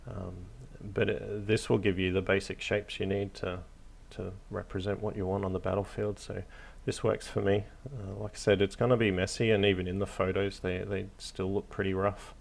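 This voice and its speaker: A man hosting a hobby tutorial, narrating casually off the cuff in a hesitant, low-key, encouraging way. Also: little pitch movement, moderate pace, creaky, few pauses. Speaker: hobby craft tutorial host.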